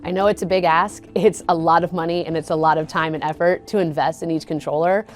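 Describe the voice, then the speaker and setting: A woman comments in an interview as an advocate. Her voice is a bit low for a woman. Faint music sits behind it. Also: fairly even intonation, fast talker.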